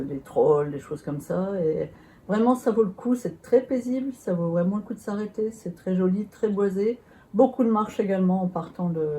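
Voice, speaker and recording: Woman, lowish pitch, dark mellow tone, unscripted travel narration. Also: a little hiss or hum, rough recording.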